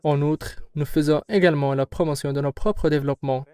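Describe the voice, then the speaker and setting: A man heading a company, giving formal, measured and assertive answers in an interview. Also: a little high, fairly even intonation, mellow, clean tone, no room sound. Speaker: company head answering questions.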